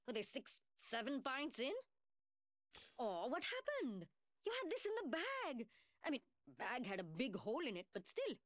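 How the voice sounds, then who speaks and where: Casting: brash young London woman gunsmith for a shooter game, highish voice, very animated swoops, sarcastic taunts in a working-class British accent. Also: normal speed, dry recording. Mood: mocking. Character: cocky, mocking young woman gunsmith fighter, brash and cheeky. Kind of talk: video game character voice lines.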